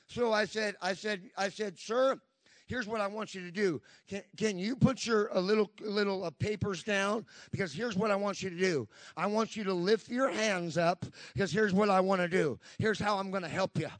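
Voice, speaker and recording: A man's voice: high voice, moderate pace. A man in his fifties preaching a Pentecostal sermon, emphatic, with frequent call-and-response asides to the congregation. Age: 50s.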